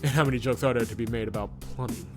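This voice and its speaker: A man's voice: medium pitch, very fast, over music, lightly acted. Man reading an earnest, flustered woman engineer. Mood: earnest, dissatisfied. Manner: hesitant, earnest. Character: earnest, idealistic, flustered female corporate engineer.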